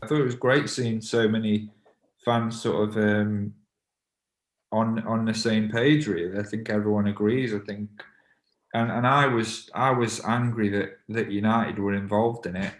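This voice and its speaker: A man in his thirties, a football fan, answering documentary interview questions in a measured, plain-spoken way. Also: lowish voice, steady tone, reverberant.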